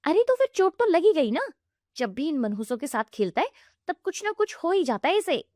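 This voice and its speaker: Cartoon role: a woman doing a nasty, high-voiced sister-in-law, scolding and dry-recorded. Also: big pitch swings, brisk, no echo. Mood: angry. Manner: shrill, harsh, scolding. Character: scornful jealous sister-in-law, young woman.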